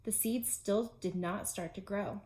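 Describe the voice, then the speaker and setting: A woman's voice: mid-range voice, a little slow, a little mellow. Woman reading a storybook to young children. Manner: simple, clearly phrased for young children. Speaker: pre-K teacher reading aloud.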